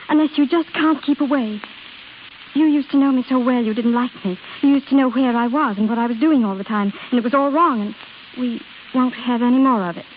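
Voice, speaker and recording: An actress playing an independent young estranged wife in an old radio drama, earnest and halting, wistful but firm. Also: female voice, sits high, lightly acted. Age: young adult. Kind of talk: 1930s-40s American radio drama acting. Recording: scratchy, hissy old radio broadcast, phone-like.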